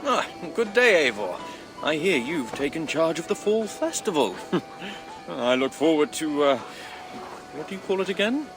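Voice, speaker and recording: An actor playing a polite, gently gossiping medieval townsman in a video game, hesitant and insinuating. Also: fairly high pitch, sing-song, a little slow, soft background music, full character voice. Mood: genial. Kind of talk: dramatic video game acting.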